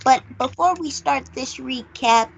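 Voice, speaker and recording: A woman with a fairly high voice and a clearly creaky edge hosts a casual review show. She takes her time, stating opinions firmly and repeating phrases for emphasis. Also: unhurried, rough recording. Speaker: host of a movie review show.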